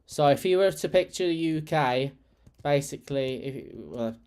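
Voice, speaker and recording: A man's voice: fairly high pitch, animated, moderate pace, a little mellow, clear, resonant tone. A man from England whose English accent has Irish touches. He chats casually as a streamer while playing a game, rambling with many fillers and restarts. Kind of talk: casual chat while gaming.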